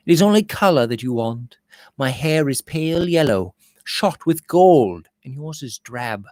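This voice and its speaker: A man's voice: medium pitch, lively intonation, a little slow, a little mellow, dry recording, close to an everyday voice. A man voicing a vain, frivolous young lady of a Victorian manor in a gushing, haughty manner. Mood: superb, prattling. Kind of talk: Victorian novel read aloud.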